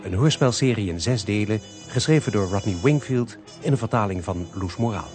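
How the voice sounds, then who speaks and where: Music plays clearly behind a man with a fairly low voice. He delivers a scripted radio drama introduction as its announcer. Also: animated, fairly quick.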